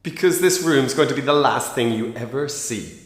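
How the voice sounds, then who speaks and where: A man's voice: medium pitch, normal speed, lightly acted. A man playing a brutal, threatening secret agent interrogator in a radio drama, with a sneering manner. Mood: coldly menacing. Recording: echoey room with long reverb.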